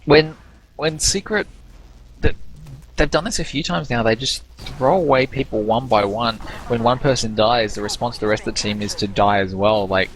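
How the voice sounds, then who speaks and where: A man's voice: mid-range voice, moderate pace, a little hiss or hum, rough recording. A man casting an online team shooter match, breaking down plays in an analytical, stop-start way. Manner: analytical, stumbling restarts. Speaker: esports caster. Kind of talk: game commentary.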